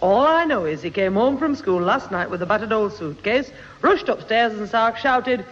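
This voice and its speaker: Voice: medium pitch, lively intonation, moderate pace, fairly bright tone, slightly rough. A performer playing a long-suffering, fond but scolding Northern English mother in a 1960s radio sitcom. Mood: chatty. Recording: muffled noisy 1960s radio recording, echoey hall.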